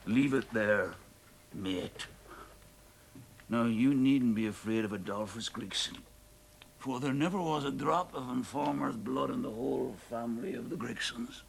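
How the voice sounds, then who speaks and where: An actor playing a drunken, boastful Irish lodger who brags, laughs and shouts in an Irish accent. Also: male voice, mid-range voice, creaky, a little hiss or hum.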